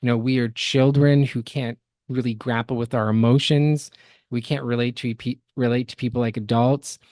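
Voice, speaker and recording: A man's voice: medium pitch, steady tone, moderate pace, darkish tone, no echo. Opinionated man, very dry close sound. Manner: analytical, opinionated. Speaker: podcast co-host. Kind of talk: critical reaction commentary.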